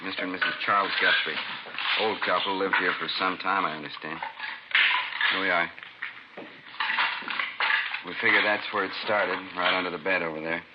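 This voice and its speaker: An American actor playing a practical, plain-spoken fire battalion chief in a 1950s radio drama. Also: male voice, low-pitched, steady tone, normal speed, pauses often, natural voice. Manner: matter-of-fact, practical. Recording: dull, very noisy old radio recording.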